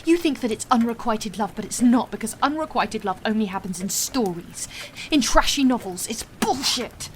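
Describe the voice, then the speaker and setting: A woman's voice: on the high side, expressive, moderate pace, slight background noise, lightly acted. A woman playing a bitter, impatient, lovelorn young wife and mother in an audio drama, scornful and snappish. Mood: angry, defiant. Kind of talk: naturalistic audio drama acting.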